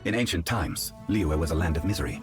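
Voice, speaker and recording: A man performs a grave storyteller of old myths for a game. His voice is deep, solemn and dramatic, with measured phrasing, and prominent music plays behind him. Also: close to an everyday voice. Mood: solemn, ominous. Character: grave male storyteller of ancient legends. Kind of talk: scripted game narration. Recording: loud background music.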